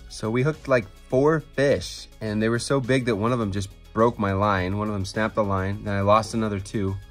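An American man in his thirties narrating a casual fishing vlog outdoors on a frozen lake, filmed on a handheld phone and at times hushed to a murmur. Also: on the low side, steady tone, moderate pace, soft background music. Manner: easygoing, drops to a hushed murmur while landing a fish. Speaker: fishing vlogger. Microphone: handheld phone camera.

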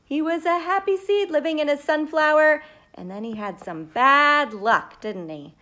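A woman in her thirties reading a storybook aloud live to children, playfully chatting to them in expressive asides. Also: sits high, unhurried. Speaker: teacher reading aloud to pupils. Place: living room at home, indoors.

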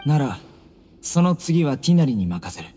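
Stern, deadpan young warrior. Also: male voice, a little high, normal speed, soft background music. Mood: resolute. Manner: serious, deadpan, clipped. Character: stern, terse young male desert warrior. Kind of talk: anime-style game character acting. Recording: echoing hall, faint noise.

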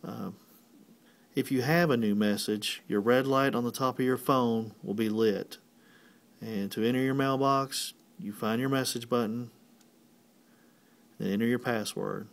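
Male tutorial presenter, medium pitch, plain and methodical, walking through instructions one step at a time. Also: fairly even intonation, a little slow, vocal fry, long pauses. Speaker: technician giving a tutorial.

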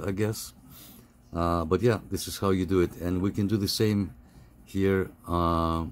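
A middle-aged man giving a casual, halting, step-by-step phone app tutorial over some background noise. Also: deep voice, darkish tone. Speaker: tutorial host.

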